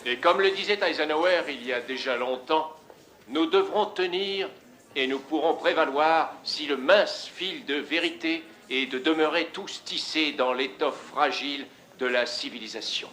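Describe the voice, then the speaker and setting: A man's voice: on the high side, normal speed, sharp tone, a little hiss or hum. A man playing a president who gives a solemn, formal address. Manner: solemn, oratorical. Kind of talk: formal speech.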